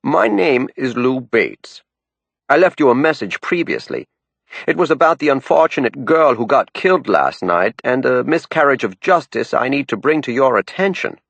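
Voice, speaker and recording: Man putting on a high, slow, lecturing elderly Maine woman crusader, a dry close recording. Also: sing-song, gravelly, a bit bright, no room sound, full character voice. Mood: insistent. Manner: slow, speechifying, insistent.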